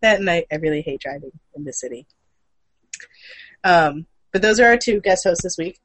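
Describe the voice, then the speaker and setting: A woman co-hosting a podcast in playful, slangy chat, her voice deepened from being sick. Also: animated, normal speed, dry recording, low-quality recording. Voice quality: deep for a woman. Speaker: podcast co-host. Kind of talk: casual chat.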